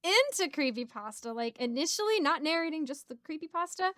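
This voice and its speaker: A woman in her twenties telling stories in a casual, giggly, expressive way as a streamer. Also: sits high, brisk, dry recording, clean studio sound. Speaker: streamer and narrator hosting a Q&A. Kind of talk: casual chat, storytelling.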